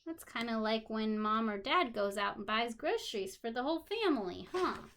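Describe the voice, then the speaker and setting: A woman teacher reading aloud to young children in an expressive manner, pausing for simple explanations and questions. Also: a little high, slight background noise. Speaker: special education teacher.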